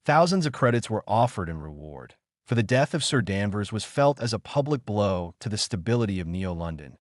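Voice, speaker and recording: Male audiobook narrator, noir thriller. Low register, highly varied intonation, dry studio sound. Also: very animated, brisk, no room sound.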